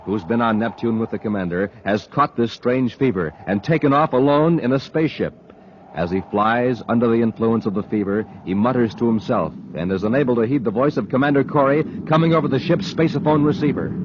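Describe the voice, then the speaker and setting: An American man announcing in emphatic 1950s radio style, with scripted hard-sell showmanship. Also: medium pitch. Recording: slightly noisy, muffled 1950s radio recording.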